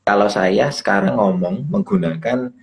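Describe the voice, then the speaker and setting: Low-voiced man explaining in a casual live session. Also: normal speed, slightly rough. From Kediri, East Java, Indonesia. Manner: explanatory, repeats points, asks rhetorical questions. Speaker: English teacher, guest speaker. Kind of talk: casual teaching talk on a live stream. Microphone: phone or laptop mic on a live video call.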